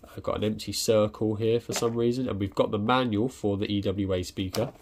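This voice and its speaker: A young man chats through an unboxing, his voice pitched very low. He hesitates often and restarts his sentences. A faint background noise sits under the recording. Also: fairly even intonation, brisk. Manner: hesitant, lots of ums and restarts. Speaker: tech reviewer. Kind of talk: casual unboxing commentary. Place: indoors at a desk.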